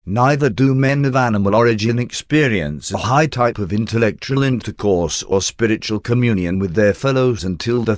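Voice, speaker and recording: Man, lowish voice, formal scripted reading, measured delivery, audiobook narrator, very dry recording. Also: dry recording.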